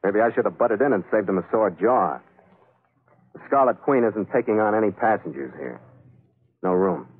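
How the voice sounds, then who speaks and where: An actor playing a tough, wry sea captain, clipped and dry, in a 1940s radio adventure with first-person narration. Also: male voice, on the low side, lively intonation, moderate pace, pauses often, husky, narrow, tinny audio. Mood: wry, wary. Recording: thin 1940s radio recording with music.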